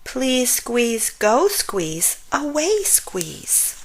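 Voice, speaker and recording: A woman's voice: a little high, very animated, extremely slow, slightly rough, pitched above the performer's own voice, heavily acted. Woman as a sulky little boy lamb. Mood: annoyed. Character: reluctant, shy, grumpy little boy lamb.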